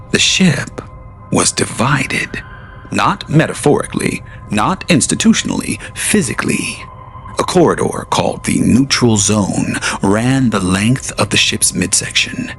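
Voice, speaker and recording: A man's voice: on the low side, vocal fry, fairly bright tone. A man narrating an audio drama as its host, in a theatrical manner and speaking straight to the listener. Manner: theatrical, addresses the listener directly.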